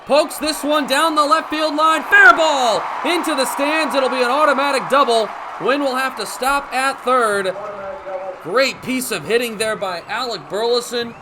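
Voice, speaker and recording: A young man calling baseball play-by-play for radio in crisp, descriptive phrases, as live sports commentary. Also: extremely high pitch, noisy background. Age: young adult. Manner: crisp, descriptive phrases with excited calls on big plays. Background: ballpark ambience.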